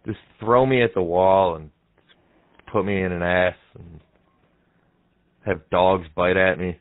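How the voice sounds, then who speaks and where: A young man making a deadpan prank call in a casual, halting way, heard through muffled, narrow audio. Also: deep voice, dry recording. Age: young adult. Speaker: prank caller, comedy show host. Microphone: headset microphone.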